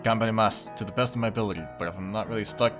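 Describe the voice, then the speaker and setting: Guy streamer with a lowish voice, reading game text out and commenting casually, bit of music behind. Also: racing.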